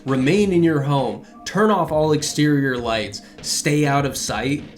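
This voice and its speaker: A man delivers casual video essay commentary in an enthusiastic, conversational way. His phrasing is loose and informal, peppered with filler words, like a reviewer sharing what he enjoys. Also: mid-range voice.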